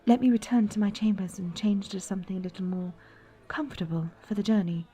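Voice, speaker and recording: A woman playing a gentle, troubled young princess in a fantasy romance audio roleplay, speaking softly and intimately in courtly, old-fashioned phrasing. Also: medium pitch, steady tone, fast talker, husky, natural voice. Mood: tender. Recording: background music.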